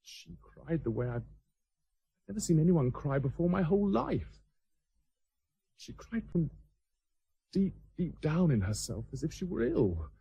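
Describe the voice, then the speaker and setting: An earnest, honour-bound schoolboy in a radio play. The voice sits fairly high for a male and moves animatedly, with a husky edge. He is stubborn and formal in manner. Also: sing-song, unhurried, long pauses, mellow, no echo, exaggerated voice, low-quality recording. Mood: shaken, solemn. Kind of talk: British stage-play radio acting.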